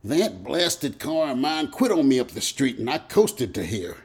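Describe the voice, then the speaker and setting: A man voicing a skinny, polite little man in a crime story, earnest, wordy and excitable. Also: mid-range voice, unhurried, breathy edge. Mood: desperate. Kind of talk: dramatized crime story reading.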